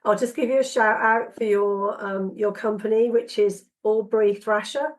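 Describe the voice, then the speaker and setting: A woman with a cold-roughened voice, hosting a casual podcast interview and pausing often with ums and restarts. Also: medium pitch, steady tone, rough recording. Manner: halting, many ums and restarts. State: hoarse from a cold. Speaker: podcast host.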